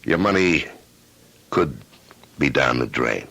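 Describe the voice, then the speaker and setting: A man with an American accent playing a blunt, cautious private detective in a radio drama, speaking deliberately. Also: rumbling low, animated, vocal fry, pushed and bright, husky, heavily acted. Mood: measured, frank. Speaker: actor.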